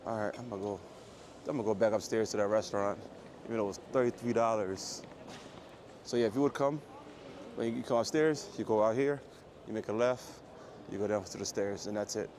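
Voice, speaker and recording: A man with a low voice chatting casually and rambling to his livestream viewers as he walks through an airport terminal. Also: fairly even intonation, fairly quick, long pauses. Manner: rambling, joking, talks quietly. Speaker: IRL livestreamer. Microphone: phone or camera carried while walking. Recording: noisy phone livestream audio.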